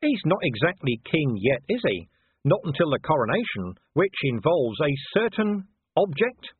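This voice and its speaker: Man acting a dry, shrewd commander, husky. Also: fairly high pitch, big pitch swings, normal speed, no room sound, narrow, tinny audio, voice pushed up, full character voice. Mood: needling. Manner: smooth, pointed, needling. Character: shrewd, dry, sardonic male city commander. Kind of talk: audiobook character voice.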